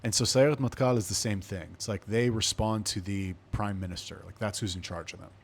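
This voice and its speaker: A young man with a fairly low voice talks on his podcast. He rambles casually through a personal story, swearing often and wandering into side remarks. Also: fairly even intonation, brisk, a little hiss or hum. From Los Angeles, USA. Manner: profane, rambling, digressive. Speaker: podcast host.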